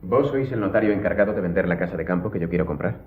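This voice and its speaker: A commanding, secretive count with a Spanish accent. His voice is deep and he fires off formal orders quickly. The radio drama recording is muffled, with faint music behind. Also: lively intonation, husky. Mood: brisk command. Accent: Spain. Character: imperious wealthy count, commanding, coolly secretive.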